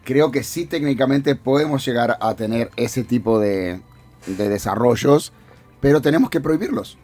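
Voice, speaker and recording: Uruguayan man, mid-pitched, panel guest. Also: normal speed, darkish tone, faint music behind. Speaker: panel guest, tech executive. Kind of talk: conversational radio panel discussion.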